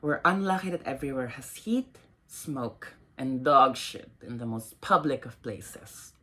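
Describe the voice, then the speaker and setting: A woman poet with a low, husky voice gives a dramatic reading of her work. Her pitch moves widely from phrase to phrase, and she sets out each line with measured, deliberate pauses. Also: lively intonation, a bit bright. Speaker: poet reading own poems.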